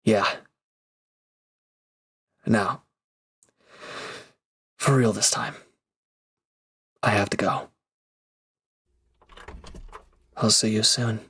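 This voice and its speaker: Man acting a low, subdued, conflicted former villain. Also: fairly even intonation, sharp tone, breathy edge, heavily acted. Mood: reluctant farewell. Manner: hesitant, earnest, halting. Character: brooding former villain, now a conflicted, hesitant lover. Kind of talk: intimate audio roleplay acting.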